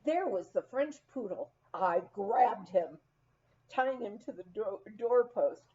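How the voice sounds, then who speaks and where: A woman reading a humorous memoir aloud as an expressive, animated storyteller, acting out the dialogue. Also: mid-range voice, takes it slowly, muffled recording. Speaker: audiobook reader.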